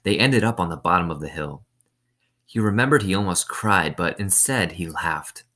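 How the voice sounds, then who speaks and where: Low-voiced man reading a story aloud. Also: lively intonation, moderate pace, bright, forceful tone. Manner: explains simply, asks listeners questions. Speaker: reader of a children's novel. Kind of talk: read-aloud storytelling with explanatory asides.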